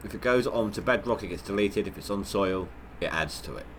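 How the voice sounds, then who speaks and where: A man's voice: on the low side, expressive, brisk, a bit bright, slight background noise. A man with a head cold, sounding nasal, giving rambling, stop-start commentary on his gameplay livestream. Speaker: gaming livestreamer.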